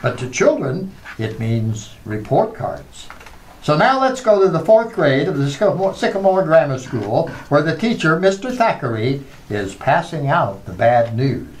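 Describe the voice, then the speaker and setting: A man's voice: a little high, sing-song, a little slow, slight background noise, poor audio. A man announcing in a scripted old-time radio style, introducing and narrating as the show's announcer. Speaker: show announcer.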